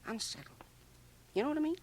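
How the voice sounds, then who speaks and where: A man's voice: extremely high pitch, lively intonation, fast talker, a little mellow. A man playing a folksy, gossipy small-town barber with a Canadian accent in a radio drama, chuckling and dropping into confidential asides. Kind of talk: radio drama acting, rambling monologue. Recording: 1980 radio drama, home cassette transfer.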